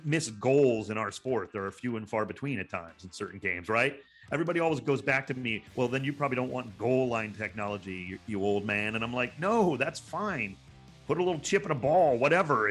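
A man's voice: medium pitch, fairly bright tone, slightly rough. Emphatic man arguing about sports. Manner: emphatic, exasperated, repeats words for stress. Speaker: sports broadcaster, guest pundit. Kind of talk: impassioned sports commentary, panel discussion.

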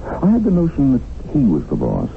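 A man's voice: medium pitch, wildly swooping pitch, fairly quick, gravelly. An actor playing a coolly defiant male prisoner in a radio drama, wry and skeptical. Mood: wry, challenging. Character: sardonic male captive facing death, coolly defiant. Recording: dull, very noisy vintage radio broadcast.

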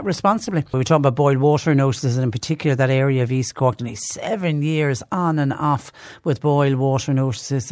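An effusive man hosts a live radio show, his voice dry and close on a studio mic. He rambles warmly, restarting his sentences as he goes. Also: fairly high pitch, dry recording. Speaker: radio host.

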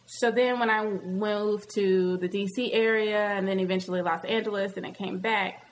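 A woman's voice: medium pitch, fairly even intonation, normal speed, a little hiss or hum. A woman from Nashville with a blended American Southern accent, chatting casually and frankly, often acting out dialogue. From Nashville, Tennessee, US. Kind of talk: casual candid chat.

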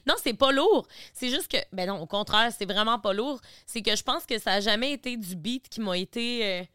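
Woman, late twenties, Québécois accent, smooth resonant tone, curious questions. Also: fairly high pitch, moderate pace, bright, forceful tone, dry recording, studio quality. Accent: Québécois French. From Beauce, Quebec. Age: 20s. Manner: curious, asks many questions. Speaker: guest content creator. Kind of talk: casual podcast chat.

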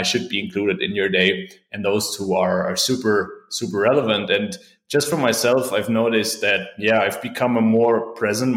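A man's voice: lowish voice, a bit bright. A man who works as a performance coach, answering interview questions in an upbeat, chatty way. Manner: upbeat, chatty, frequent "right?" and "you know". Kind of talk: casual interview chat. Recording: roomy echo.

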